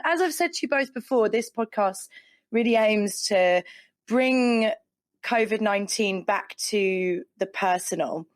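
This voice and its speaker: A woman's voice: medium pitch, no room sound. A woman hosting a podcast interview in a conversational, effusive and appreciative way. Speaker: podcast host.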